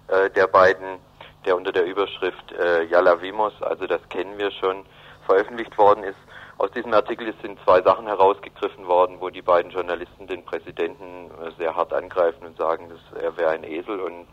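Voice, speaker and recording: A man giving a halting, explanatory answer in a radio interview. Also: sits low. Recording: dull lo-fi radio recording.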